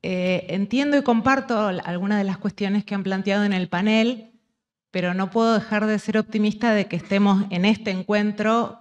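A woman's voice: on the low side, muffled recording. A woman from Argentine Patagonia speaking from the audience at a conference, earnest and thinking aloud with restarts. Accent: Argentine Spanish. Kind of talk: spontaneous comment at a panel. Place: university conference hall.

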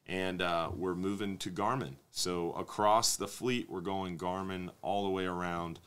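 A man in his thirties hosting a live fishing Q&A stream from a studio desk, reading viewer questions and answering them in a relaxed, conversational way. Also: low-pitched, a little slow, clean tone. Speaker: fishing show host. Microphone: desk microphone on stand.

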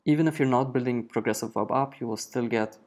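A man in his thirties talking through a software tool in a relaxed screencast, with frequent fillers as he explains. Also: mid-range voice, fast. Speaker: web developer presenting a screencast. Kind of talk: casual screencast walkthrough.

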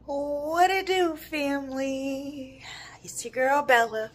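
A woman's voice: high voice, fairly even intonation, slow, noisy background. A young American woman chatting casually and slangily to a vlog audience. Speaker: vlogger. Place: home kitchen, indoors. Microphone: phone at arm's length.

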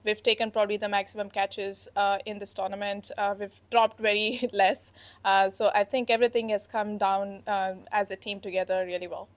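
A young woman captain fields reporters' questions in a steady, earnest tone, her pitch staying level and even. She speaks into a handheld mic in an indoor press room. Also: normal speed. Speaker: team captain. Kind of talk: post-match press conference answers. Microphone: handheld microphone.

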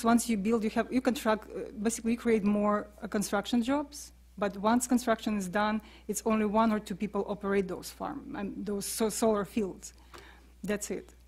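A woman's voice: mid-range voice, a little mellow. A woman, a panelist answering questions in a briefing room, with frequent fillers. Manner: halting, many fillers.

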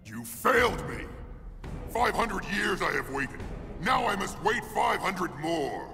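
An actor playing a towering, wrathful warlord in a video game cutscene, with a deep, gravelly voice and an imperious, menacing manner. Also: male voice, big pitch swings, slow pace, full character voice. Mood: furious. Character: towering, brutal warlord of another realm, commanding and wrathful. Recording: long reverb, loud music behind.

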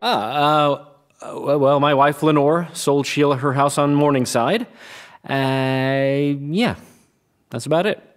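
A man's voice: fairly high pitch, slow pace, smooth. Awkward guy who crashed a funeral, hesitant, ums a lot. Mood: sheepish. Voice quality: sheepish, hesitant. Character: awkward man named Kevin crashing a funeral. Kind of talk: audio play acting.

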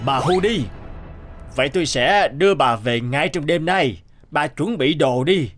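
An actor voicing a stern, secretive husband in a cartoon fairy tale, commanding and insistent. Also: male voice, fairly high pitch, lively intonation, unhurried. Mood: firm. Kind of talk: animated fairy-tale dubbing. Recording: background music under the voice.

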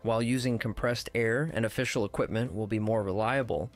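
A man giving scripted voice-over narration for a technical how-to video, in a measured, precise, instructional way. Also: lowish voice. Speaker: tutorial narrator.

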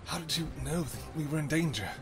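A man voicing an ancient, protective vampire king in an audio roleplay, who shouts urgent commands and then turns to low, tender murmuring. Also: expressive, a little fast, music in the background, close to an everyday voice. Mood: scolding. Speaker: voice actor.

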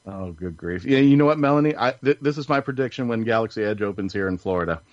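A man's voice: fairly low pitch, expressive, fast talker, no echo. A man hosting a casual panel podcast, chatting in a jokey storytelling manner. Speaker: podcast host. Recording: dull, lo-fi audio.

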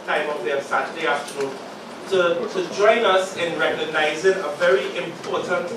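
A man in his forties with a sore throat from a cold, giving measured opening remarks as moderator of a panel discussion to a live audience. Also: a little high, noisy background. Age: 40s. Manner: measured, halting, with many pauses and fillers. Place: small meeting room with a live audience. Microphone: podium mic, filmed from the back of the room. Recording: echoey room recorded from far off.

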